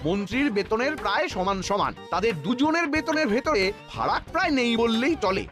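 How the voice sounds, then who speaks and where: A man's voice: extremely high pitch, animated, a little fast, music in the background. A man playing a scheming, resentful royal minister in a cartoon, with a whiny, indignant, exaggerated delivery. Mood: aggrieved complaining. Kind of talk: animated cartoon dialogue.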